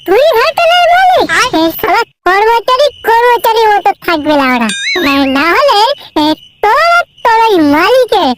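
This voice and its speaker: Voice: very high-pitched, very animated, normal speed, hardly any pauses, very noisy. A performer voicing a boastful, foul-mouthed drunk man in a comic cartoon, slurring and repeating himself as he blusters, with music behind. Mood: belligerent. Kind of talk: exaggerated comic cartoon dialogue. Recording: loud music bed behind voice.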